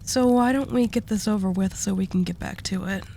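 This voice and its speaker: A female mafia boss, acted by a woman, speaks at a medium pitch over clear background noise. She is composed and scheming, handing out condescending endearments in a seductive, teasing roleplay style. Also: brisk, mellow, natural voice. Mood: cool, scheming. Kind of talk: intimate romantic audio roleplay acting.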